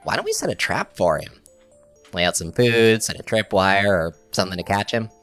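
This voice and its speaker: Man as a low, slangy alien mechanic. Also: very animated, moderate pace, a bit bright, no echo, soft background music. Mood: eager, scheming. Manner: slangy, irreverent, casual. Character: slangy, laid-back alien mechanic and college dropout. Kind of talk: scripted audio drama dialogue.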